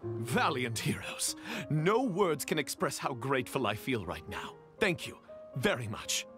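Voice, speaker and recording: Earnest, very animated young knight. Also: male voice, mid-range voice, measured pace, music in the background. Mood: courteous, grateful. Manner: formal, polite, flowery. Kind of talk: video game voice acting.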